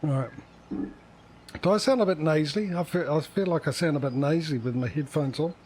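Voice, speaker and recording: A man's voice: a little high, a little fast, darkish tone, slight background noise. A man chatting casually as a livestream host, rambling and hesitant, often correcting himself as he goes.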